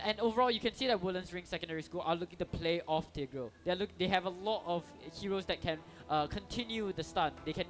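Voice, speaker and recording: A man casting an esports match, energetic and chatty, restarting sentences and tossing in "you know". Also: high-pitched. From Singapore. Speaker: esports caster. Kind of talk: esports play-by-play commentary. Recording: commentary over loud game music.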